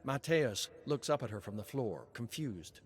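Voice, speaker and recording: A man reading scripted narration as a storyteller, solemn and measured, with dramatic pauses. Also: medium pitch, animated.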